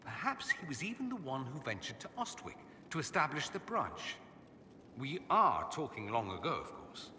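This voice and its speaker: An actor voicing a witty, urbane aristocratic mage in a video game, with a flamboyant, playful, arch delivery. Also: male voice, mid-range voice, big pitch swings, normal speed, bright, forceful tone, long reverb tail, slight background noise. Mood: playful, wry.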